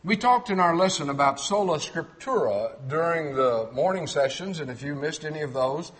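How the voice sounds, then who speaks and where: A man's voice: on the high side, animated, normal speed, fairly bright tone. A middle-aged man from Texas with a faint Texan accent, preaching a sermon and reading scripture aloud in a clipped, carefully enunciated way. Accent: Texan, trained toward clipped neutral speech. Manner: clipped, clearly enunciated delivery, reads verses aloud with emphasis.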